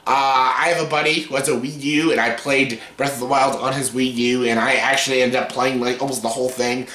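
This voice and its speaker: A man in his late twenties with a scratchy yet bright, forceful voice, talking loosely and profanely through a casual countdown. His delivery is rambling and full of fillers. The room around him gives a noticeable echo. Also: medium pitch, steady tone, fairly quick. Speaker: vlogger. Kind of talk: casual top-ten countdown. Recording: roomy echo.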